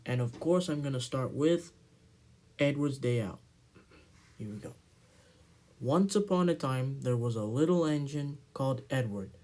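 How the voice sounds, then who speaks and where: Slow, mellow man reading a storybook. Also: medium pitch, lively intonation. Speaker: narrator. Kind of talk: amateur children's storybook reading.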